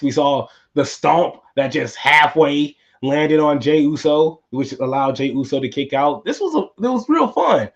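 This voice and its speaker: Tired, slightly high-voiced podcast man. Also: dull audio. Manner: casual, rambling, repeats himself. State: tired, voice going hoarse. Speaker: podcast host. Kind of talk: solo wrestling recap podcast.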